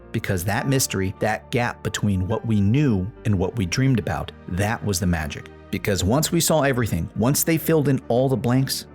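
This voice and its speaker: A man's voice: on the low side, darkish tone. A man hosting his own video channel, talking in an earnest, nostalgic way that leans on repeated phrases. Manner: earnest, nostalgic, repetitive emphasis. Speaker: video essay host. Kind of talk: reflective commentary to camera.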